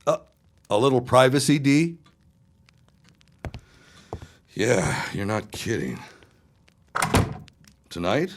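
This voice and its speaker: A man's voice: medium pitch, very animated, slow, a little hiss or hum. An actor playing a cold, domineering 1910s businessman husband in an audio drama, brusque, dismissive and commanding. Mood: sly, confiding. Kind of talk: period audio drama acting.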